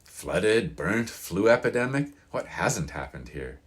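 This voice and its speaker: A man's voice: fairly low pitch, sing-song, slow pace, voice pushed up. A man voicing an opinionated elderly small-town local in an audiobook, with a grating, folksy, emphatic delivery. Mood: proud, reminiscing. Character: crusty old mountain-town man, proud, opinionated, wistful. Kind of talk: audiobook character reading.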